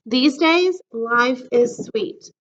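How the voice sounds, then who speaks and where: A woman voicing a young, earnest, self-doubting cookie who tells a storybook tale in a friendly, expressive way. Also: sits high, drawn-out words, highs cut off, full character voice. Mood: cheerful, welcoming.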